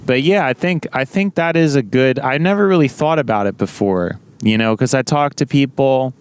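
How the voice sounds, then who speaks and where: A young man with a naturally loud voice, rambling casually to the camera with many restarts and self-corrections. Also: mid-range voice, moderate pace, a little hiss or hum. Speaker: vlogger.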